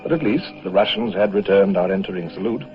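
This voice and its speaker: An actor playing a dry, resolute British naval commodore in an old-time radio drama, formal, clipped and authoritative. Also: male voice, low-pitched, expressive, fast, muffled recording, soft background music. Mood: reflective narration. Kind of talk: old-time radio drama acting and first-person narration.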